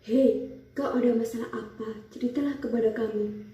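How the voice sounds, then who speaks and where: A kind, forgiving lion from a puppet fable acted by a young woman. The voice is very dark and even, calm and friendly, in a muffled, echoey recording. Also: a little high, moderate pace, lightly acted. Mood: reassuring. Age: young adult. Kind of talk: puppet-show fable dialogue. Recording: muffled, roomy, distant mic.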